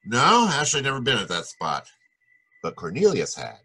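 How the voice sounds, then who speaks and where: An actor playing a hardboiled, wisecracking American private detective in a 1940s-style radio noir. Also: male voice, mid-range voice, wildly swooping pitch, moderate pace, bright, forceful tone, husky, heavily acted. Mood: explaining.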